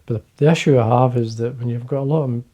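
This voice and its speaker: A man with a Scottish accent, talking quietly and casually to camera from his fly-tying desk, rambling with fillers and restarts. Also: mid-range voice, fairly even intonation, fast, a little hiss or hum. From Scotland. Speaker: fly-fishing video host.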